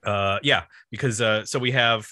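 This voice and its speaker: A man's voice: fairly low pitch, moderate pace, sharp tone, no room sound. A man hosting a music podcast, casually explaining the history of an album in an informal, loosely structured way.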